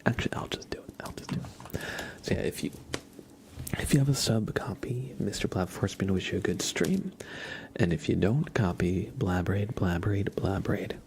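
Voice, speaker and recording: A man streaming ASMR, chatting softly and gently in a breathy, often whispered voice, unhurried and informal, close to the microphone. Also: low-pitched. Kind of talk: soft-spoken ASMR livestream chat.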